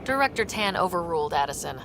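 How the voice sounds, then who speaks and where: A woman's voice: on the low side, big pitch swings, fast, long reverb tail, noisy background. A woman playing a wry, curious young space explorer in a video game, talking in a casual, dry way. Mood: businesslike.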